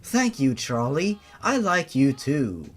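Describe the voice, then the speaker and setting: A man's voice: mid-range voice, sing-song, takes it slowly. A man voicing a polite young woman from a horror fan story, in formal phrasing. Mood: kind. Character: polite young woman, kind to children, coolly menacing.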